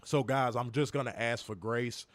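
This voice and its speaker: A young man co-hosting a wrestling review stream, hoarse from illness, cursing and joking straight to his viewers. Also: mid-range voice, steady tone, moderate pace, no room sound. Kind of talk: casual live-stream chat. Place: home, in Chicago.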